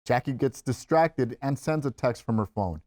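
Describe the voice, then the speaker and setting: A man serves as the narrator at a script table read. He reads scene headings and action lines at an average male pitch. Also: expressive, normal speed, dark tone. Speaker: stage-direction reader.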